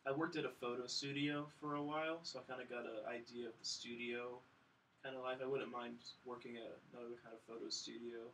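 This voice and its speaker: A man's voice: mid-range voice, little pitch movement, brisk, a bit bright, far from the mic, slight background noise, poor audio. A young American man, a film student guest chatting casually in a joking, self-deprecating way. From United States, St. Louis area. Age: young adult. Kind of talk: casual chat.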